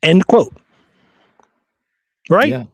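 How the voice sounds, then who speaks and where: A man's voice: sits high, big pitch swings, very slow, gravelly, breathy edge, no echo. A man hosting a history podcast, talking casually and emphatically and often checking for agreement. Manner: informal, emphatic, seeks agreement with "right?".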